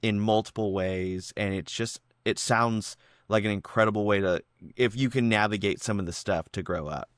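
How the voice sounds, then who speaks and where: A man hosting a podcast interview, enthusiastic and excitable in casual chat. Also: lowish voice, normal speed, no room sound, studio quality. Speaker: podcast host. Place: San Diego.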